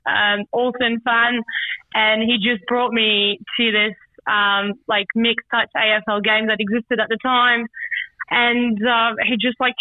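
A woman's voice: on the high side, no room sound. Young woman on a phone line. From Paris, France. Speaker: amateur footballer being interviewed. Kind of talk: casual phone interview. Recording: tinny telephone line.

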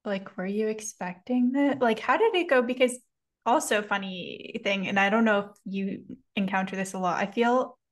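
A woman hosting a podcast interview, asking questions in a friendly, relaxed way. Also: mid-range voice, moderate pace. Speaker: podcast host. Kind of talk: casual interview.

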